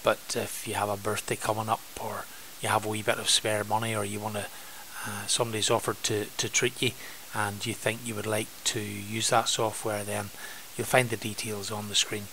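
A man with a Northern Irish accent, an art teacher giving an informal software demonstration for students. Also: lowish voice, little pitch movement, normal speed, gravelly, few pauses, a little hiss or hum, poor audio.

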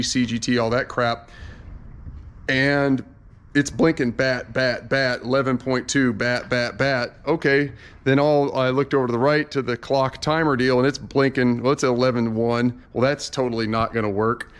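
A man's voice: medium pitch, smooth. A man in his forties, a pilot vlogging to a handheld camera in a hangar at night, explaining in a chatty, rambling way. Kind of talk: casual vlog to camera. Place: aircraft hangar at night, indoors. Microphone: handheld action camera at arm's length.

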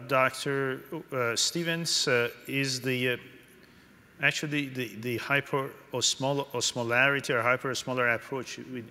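A middle-aged man moderating a conference panel from a podium, reading out questions in halting, hesitant phrases. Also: medium pitch, bright, forceful tone. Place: large conference hall stage. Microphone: podium microphone. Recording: hall reverb from stage PA.

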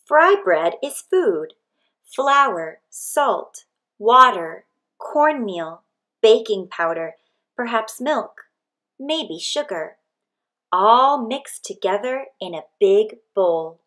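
A woman narrating a picture book aloud expressively, with deliberate phrasing and pauses between short phrases. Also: on the high side, very animated, fairly bright tone.

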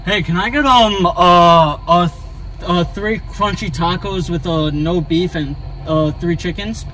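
A man's voice: high-pitched, slow, bright, forceful tone. A man casually ordering as a drive-thru prank, hesitant and stammering, often repeating his words. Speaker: prank vlogger. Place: in a car at drive-thru speakers. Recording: car noise, outdoor drive-thru.

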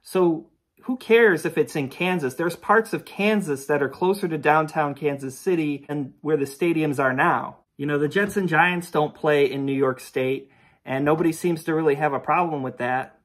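A man's voice: a little high, moderate pace. Blunt young man ranting to webcam. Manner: blunt, dismissive, rhetorical questions. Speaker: sports video host. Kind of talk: opinionated commentary to camera. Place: home room with sports decor, indoors.

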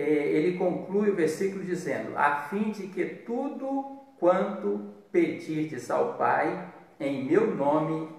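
A man in his fifties with a Brazilian accent, preaching a devotional in a measured, deliberate way with pauses. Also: on the high side, gravelly. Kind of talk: devotional sermon with Bible reading. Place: small bare hard-walled room indoors. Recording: very echoey room, distant mic.

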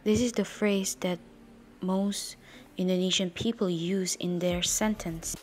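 A woman's voice: on the low side, steady tone, a little slow. A woman giving scripted educational narration as a lesson presenter, in a careful, enumerating manner. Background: background music.

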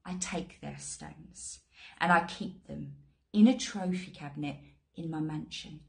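Low-voiced British female crime boss, played by an actress. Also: vocal fry. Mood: menacing, calm. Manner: deliberate, threatening, with quiet menace. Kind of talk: dramatic monologue acting. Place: small plain indoor room.

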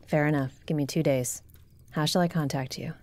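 A woman's voice: low-pitched, steady tone, moderate pace, a little mellow, no echo. A woman playing a grave, dignified rebel noblewoman in a fantasy audio roleplay, speaking formally and solemnly. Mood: guarded.

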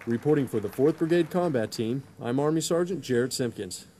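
A young adult man reads military news copy in a crisp, announcer-like delivery. His pitch sits in a middle range. A faint hiss of noise lies under the voice. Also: expressive, a little fast, dark tone, a faint crowd. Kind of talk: scripted news narration.

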